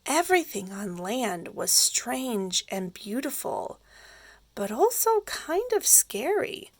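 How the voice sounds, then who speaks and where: A woman reads a children's storybook like a teacher with her young class. Her voice sits fairly high and moves in lively swoops. She goes slowly, explaining simply, in a close, dry sound. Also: no room sound. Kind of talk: expressive children's read-aloud storytelling.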